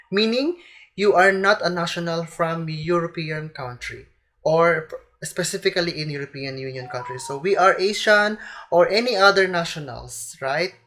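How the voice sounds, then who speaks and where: Young Filipina vlogger with a Filipino accent and a slight cold, chatty, talks to camera. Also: female voice, on the low side, fairly bright tone. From Philippines. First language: Tagalog. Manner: chatty, keeps addressing viewers as "guys". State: has a cold. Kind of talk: casual advice vlog to camera.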